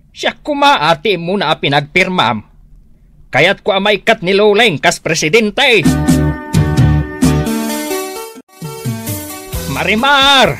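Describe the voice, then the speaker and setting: A man's voice: high-pitched, sharp tone, dry recording, exaggerated voice. A man playing an aggrieved older father with an Ilocano accent, stuck with the housework, in a comedy radio drama. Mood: curious, conspiring.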